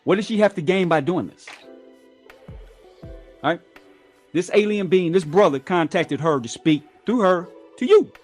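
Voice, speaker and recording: A man's voice: sits high, lively intonation, normal speed, pauses often, soft background music. A man on a talk show, speaking in a preachy way and asking rhetorical questions.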